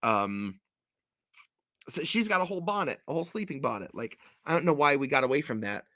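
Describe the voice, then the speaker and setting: A man's voice: mid-range voice, animated, a little fast, sharp tone, dry recording, studio quality. A man hosting a show, reading storybook verse and chatting casually with wry, jokey asides. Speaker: show host and storybook reader.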